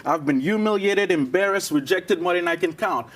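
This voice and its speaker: A man's voice: high-pitched, normal speed. A man from Haiti, a guest on a TV morning show, speaking earnestly and encouragingly as he lays out his points one by one.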